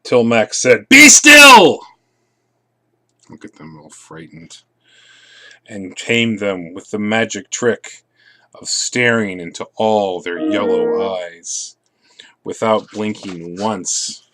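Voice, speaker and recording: A man reading a children's picture book aloud, expressively, with soft asides to his listeners. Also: lowish voice, slow pace. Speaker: storybook reader.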